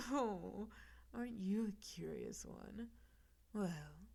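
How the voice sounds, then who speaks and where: Sultry, creaky mafia boss played by a woman. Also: lowish voice, mellow, heavily acted. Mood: suggestive, teasing. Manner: sultry, breathy teasing, often dropping toward a whisper. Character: flirty, teasing woman mafia boss, smug and seductive. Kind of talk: scripted audio roleplay in film noir cliches.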